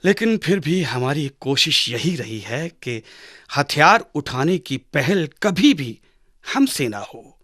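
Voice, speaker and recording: A man playing a patient father who teaches his son in a measured, didactic way, with dramatic pauses, in a radio drama. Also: on the high side, very animated, a bit bright, no echo, clean studio sound, full character voice. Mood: earnest. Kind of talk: Hindi radio drama acting.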